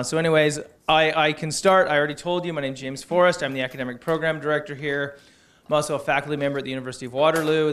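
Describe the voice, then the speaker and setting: A man, the program director, casually welcoming a group of new students, relaxed and joking, with pauses and fillers. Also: medium pitch, clear, resonant tone.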